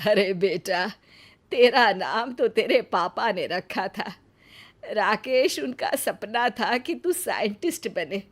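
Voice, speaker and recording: Woman voicing an elderly mother-in-law, kindly, plain-spoken, teasing, unhurried, family storytelling. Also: mid-range voice, lively intonation. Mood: fond. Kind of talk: acted Hindi family moral story.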